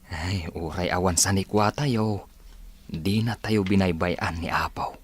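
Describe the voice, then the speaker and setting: A man playing a humble, hardworking village father, measured and earnest, in a radio drama. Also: low-pitched, breathy edge.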